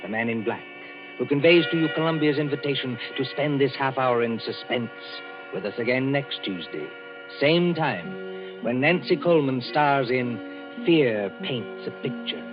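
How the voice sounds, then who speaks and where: A man's voice: mid-range voice, animated, normal speed. A man announcing the closing of a 1940s radio drama, formal and declamatory. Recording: muffled 1940s radio broadcast with music.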